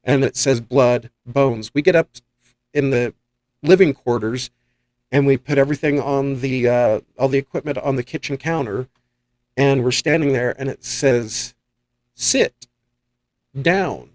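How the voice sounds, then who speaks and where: A man's voice: mid-range voice, a little slow, darkish tone, dry recording. A man hosting a podcast, telling rambling, enthusiastic anecdotes in a casual chat. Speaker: podcast host and paranormal investigator.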